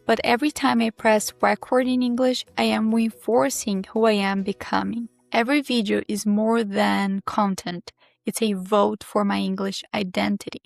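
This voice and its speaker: A Brazilian woman, a native Portuguese speaker with a Brazilian accent, hosting her English-learning channel and talking in a calm, measured, candid way. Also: mid-range voice, creaky, no room sound. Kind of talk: reflective teaching monologue to camera. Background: soft background music.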